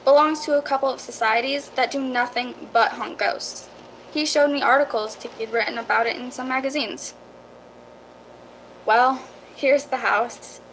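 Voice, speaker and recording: A woman's voice: high voice, steady tone, a little slow, fairly bright tone, slight background noise, low-quality recording. A woman playing a chatty, folksy property seller in a radio drama, eager and persuasive. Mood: eager, reassuring. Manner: eager, reassuring, folksy salesmanship.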